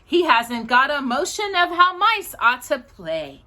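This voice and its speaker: A woman hosting a children's storytime, reading aloud in an animated, sing-song manner. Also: high voice, unhurried.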